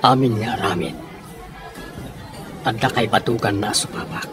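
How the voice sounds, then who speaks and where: A man's voice: fairly low pitch, normal speed, music in the background, crowd noise, natural voice. A man acting as a generous, courting benefactor in a radio drama, speaking earnestly and persuasively. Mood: earnest, grateful. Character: courting, generous male benefactor of a young woman.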